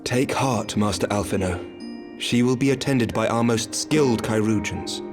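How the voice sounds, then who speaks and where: A man playing a calm, gracious lord of knights in a game cutscene, speaking formally with polite authority. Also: fairly low pitch, unhurried, lightly acted. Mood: calm, commanding. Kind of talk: video game cutscene acting. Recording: background music under the dialogue.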